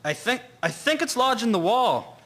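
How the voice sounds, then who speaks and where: Man playing a high-voiced, animated young airman. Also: normal speed, exaggerated voice. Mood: urgent. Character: young airman friend, chummy, takes charge under stress.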